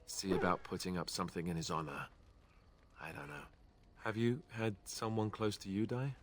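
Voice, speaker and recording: An actor playing an earnest, grieving young warrior in a video game, speaking in a halting, sincere, subdued way. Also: male voice, low voice, steady tone. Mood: grieving, regretful. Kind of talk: video game character acting.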